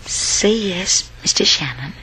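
A woman playing a lonely, middle-aged widow in an old radio drama, earnest, halting and imploring. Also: lowish voice, lively intonation, bright, forceful tone, whispered, exaggerated voice. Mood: pleading. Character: lonely, pleading, well-off widow no longer young. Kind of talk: 1940s-50s radio drama acting. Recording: muffled, husky old radio recording.

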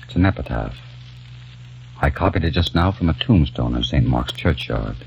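An actor playing a grieving young man who narrates and speaks in a grave, hushed, sorrowful way in a 1940s radio drama. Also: very deep voice, lively intonation, moderate pace, slight background noise. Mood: quiet, grave. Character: grieving young man haunted by lost love. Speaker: narrator and lead. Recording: dull lo-fi old radio recording with faint music.

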